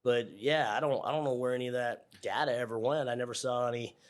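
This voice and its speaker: A man's voice: mid-range voice, fast. Casual middle-aged American man. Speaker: air traffic controller, interview guest. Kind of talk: casual conversational interview answers.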